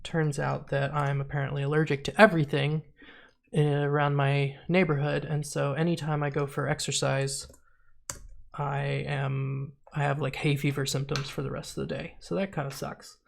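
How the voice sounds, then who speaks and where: A man with a stuffy, sniffly nose walks through his programming on a casual stream. His voice stays flat and gravelly, dotted with fillers, and he drifts into quiet muttering as he types. Keyboard clicks sit behind him. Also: a little high, normal speed, slight background noise. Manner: thinking aloud with many fillers, trailing off to mutter while typing. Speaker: programmer streaming a coding session. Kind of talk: casual screen-share coding walkthrough.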